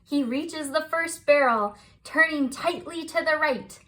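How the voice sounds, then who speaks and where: A woman reading a children's picture book aloud as scripted bedtime narration, with expressive, deliberate phrasing. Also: high voice. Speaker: children's storybook reader.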